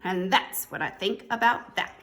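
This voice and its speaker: A woman voicing a boastful, excitable little kitten boy who fancies himself a chihuahua swordfighter, in an exclamatory, grandiose singsong. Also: medium pitch, moderate pace, natural voice. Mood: proud, boastful.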